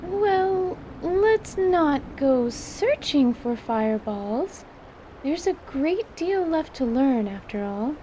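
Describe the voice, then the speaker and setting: A woman playing a composed, scholarly magic teacher, patient and reserved, with formal, measured wording in a fantasy audio drama. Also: high voice, lively intonation, dark tone, a little hiss or hum, pitched above the performer's own voice. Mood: composed, gentle.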